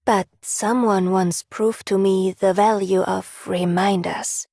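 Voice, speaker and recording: A wistful lady poet from a game. She speaks elegantly and slowly in a mid-range voice with plenty of creak at the edges. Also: mid-range voice, fairly even intonation, darkish tone, dry recording, studio quality. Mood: wistful, resolute. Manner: elegant, literary phrasing. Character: refined, wistful female poet, gently resolute. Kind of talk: scripted video game character voice line.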